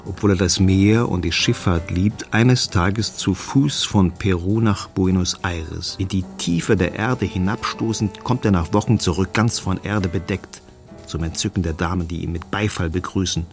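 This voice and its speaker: A man's voice: low-pitched, normal speed, gravelly, soft background music. A man reading literary prose for a radio feature. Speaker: literary reader.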